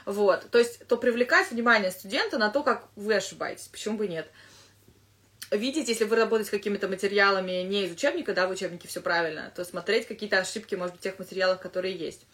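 A woman English teacher giving casual advice to fellow teachers, thinking aloud with lively asides. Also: medium pitch, quick pace.

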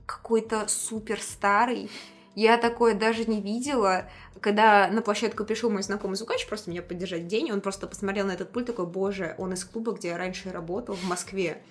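A woman musician chatting casually as an interview guest, telling lively anecdotes in slangy speech and acting out the dialogue she quotes. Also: medium pitch.

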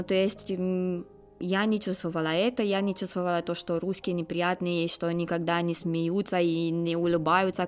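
A woman in her twenties with a Romanian accent answers interview questions conversationally, hesitantly searching for words as a non-native speaker. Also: on the low side. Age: 20s. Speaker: interview guest, foreign student.

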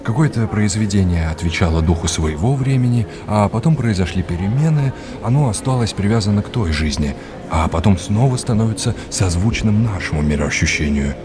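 Deep-voiced, courteous male art lecturer played by an actor. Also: expressive, a little fast, music in the background, natural voice. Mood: polite, curious. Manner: courteous, old-fashioned, didactic. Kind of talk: audio drama acting.

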